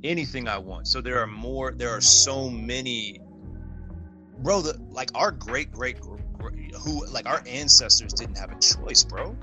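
A man in casual podcast chat, talking in an animated, rambling way and calling his friend "bro". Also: medium pitch, unhurried, faint music behind. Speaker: podcast co-host.

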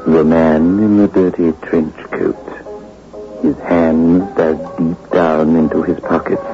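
An actor playing a sinister, mock-friendly horror host, ominous and deliberate, in 1960s radio style. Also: male voice, a bass voice, flat delivery. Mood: grim. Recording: dull old radio recording with music.

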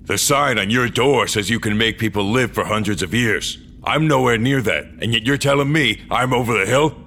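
An actor playing a world-weary, blunt old cyborg man with a wry, gruff manner in an audio drama. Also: mid-range voice, normal speed, pushed and bright, slight background noise. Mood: indignant. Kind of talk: audio drama dialogue and first-person narration.

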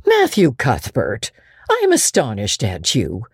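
Woman playing a stern, dry older country woman, swooping pitch. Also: wildly swooping pitch, breathy edge, dry recording, heavily acted. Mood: indignant. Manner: dry, brisk, scolding.

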